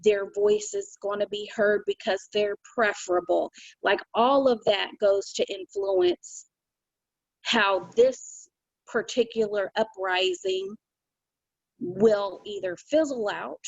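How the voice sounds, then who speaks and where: A woman's voice: mid-range voice, expressive, takes it slowly, gravelly. A woman speaks in a medium voice over a video call, earnest and reflective, often stopping to restart her thought. The audio is dull and lo-fi with a dry, close sound and no room echo. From United States. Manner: earnest, thoughtful, many restarts and "you know". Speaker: community call participant.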